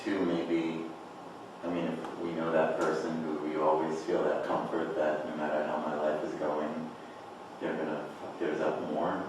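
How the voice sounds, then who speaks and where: A man's voice: very deep voice, monotone, moderate pace. A man, an actor, reflecting casually in a group discussion in a rambling, like-filled way. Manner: rambling, frequent "like". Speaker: actor in a table-read group. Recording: echoey room, far mic, clear noise.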